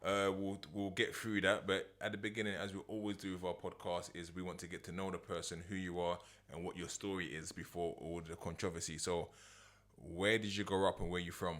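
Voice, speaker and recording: A man hosting a podcast, asking short, relaxed questions. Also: sits low, fairly quick. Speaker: podcast host. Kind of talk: casual interview questions.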